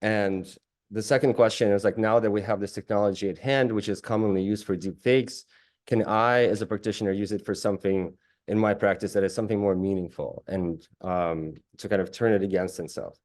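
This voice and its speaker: A man in his late thirties from Russia, giving an online talk about his work, thoughtful and halting, with frequent restarts. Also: on the low side, steady tone, a little mellow. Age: late 30s. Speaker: guest artist giving a talk.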